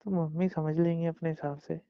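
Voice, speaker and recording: A young man with a gravelly voice, chatting casually and intimately with his partner on a phone call. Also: fairly high pitch, flat delivery, fairly quick, dry recording. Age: young adult. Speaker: boyfriend. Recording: muffled lo-fi phone call recording.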